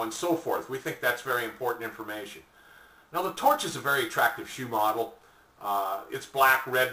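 An older man giving a folksy, conversational sales pitch to camera as a product presenter, seated in a small room. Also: bright, forceful tone. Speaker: product presenter for an online shop. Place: small indoor room at home or office, seated. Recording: echoey room, distant mic.

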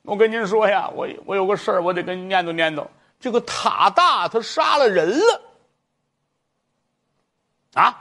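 A man voicing an elderly mother who pleads in court, crying out her lament in a drawn-out sing-song. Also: high voice, lively intonation, pushed and bright, voice pushed up, exaggerated voice. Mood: pleading. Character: wailing grief-stricken old mother pleading in court.

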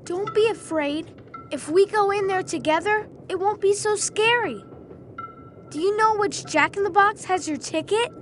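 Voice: very high-pitched, moderate pace, a bit bright, a little hiss or hum. An actor playing a brave, reassuring young boy in a video game, speaking in an earnest, encouraging way. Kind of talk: video game cutscene acting.

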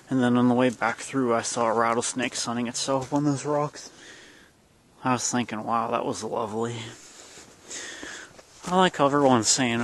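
A man's voice: medium pitch, slight background noise. A man giving casual vlog commentary while filming on a handheld camera outdoors on a wooded trail. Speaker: vlogger. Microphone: handheld camera, held by the speaker.

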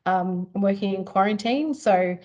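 A woman, a chemistry teacher, presenting in a webinar over a video call in a relaxed, slightly rambling way. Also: mid-range voice, a little slow, highs cut off.

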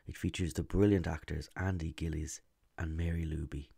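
A man's voice: rumbling low, fairly even intonation, moderate pace, vocal fry, mellow, husky. A man hosting and narrating a story podcast, reading from a script.

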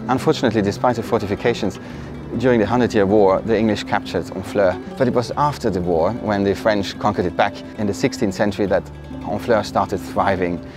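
A man in his forties from a German-speaking part of northern Italy, telling his story to the camera in a relaxed way. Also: on the low side, brisk, few pauses. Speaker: vlog co-host. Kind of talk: casual talk to camera. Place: indoor room, later outdoors by a harbour. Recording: roomy sound with background music.

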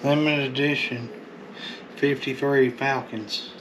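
A man's voice: mid-range voice, steady tone, slow. A man, chatting offhand as he sorts through a storage unit. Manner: drawling, offhand, calls things slick. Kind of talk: casual chat while unboxing. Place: metal storage unit, indoors. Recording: loud clanking background noise.

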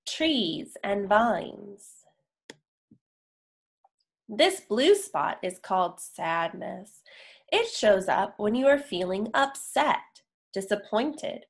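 A young woman reads a children's picture book aloud at a slow pace. Her pitch is average for a woman, and she sets words apart with careful, deliberate pauses. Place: indoor room, on a video call.

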